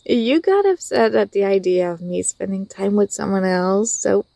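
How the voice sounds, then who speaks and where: A young woman playing an affectionate, teasing university student in an intimate ASMR roleplay, speaking softly with a tender, hesitant delivery. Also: mid-range voice, lively intonation, normal speed, gravelly, dry recording. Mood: gentle reassuring teasing.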